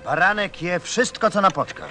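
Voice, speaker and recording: An actor playing a busy, irritable stranded mechanic in a radio play, muttering and impatient. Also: male voice, high voice, moderate pace, music in the background. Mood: irritated, distracted. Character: busy, irritable stranded man tinkering with machinery.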